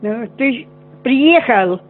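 A man on a phone call, slow and puzzled, repeating himself. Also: very high-pitched, expressive. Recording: tinny phone line with faint music.

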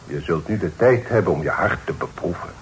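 An actor playing a sober, dignified middle-aged father, speaking formally and deliberately in a period radio play. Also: sits low, expressive, low-quality recording. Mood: grave, measured. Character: sober, reasonable, dignified father of a genteel family. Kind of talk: period radio drama acting. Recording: old radio recording with faint noise.

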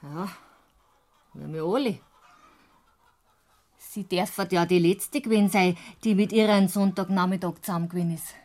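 A woman's voice: fairly low pitch, normal speed, long pauses, a little mellow, close to an everyday voice. Actress as plaintive Bavarian mountain farm woman. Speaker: farmer's wife. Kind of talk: radio crime drama acting in dialect.

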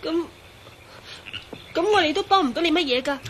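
A worried woman in a radio drama, high in pitch, with a Hong Kong Cantonese accent. Her delivery is hesitant and pleading, set against faint background noise. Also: moderate pace. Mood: doubtful, worried.

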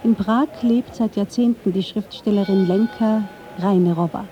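A woman narrates a radio documentary in a very mellow, dark voice. Her delivery is measured and literary. The recording sounds a little dull, with faint music behind her. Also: medium pitch. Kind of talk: scripted radio feature narration.

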